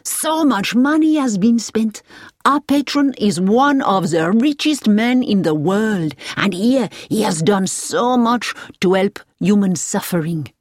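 An eager, polite male driver from a storybook, as a woman reader puts him on. He speaks with proud, emphatic enthusiasm. The pitch is lifted and the sound is dry. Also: expressive, slow pace, no room sound. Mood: enthusiastic.

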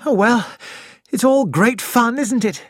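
A reader voicing a frightened young woman who keeps up a brittle, brave front, speaking quickly and shakily. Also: mid-range voice, no echo, clean studio sound, pitched above the performer's own voice, natural voice. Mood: anxious.

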